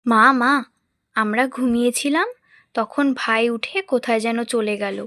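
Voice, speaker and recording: Woman voicing a bossy, high-voiced big sister. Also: unhurried, a bit bright, no echo. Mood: alarmed. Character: bossy teasing elder sister, a young girl. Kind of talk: Bengali cartoon voice acting.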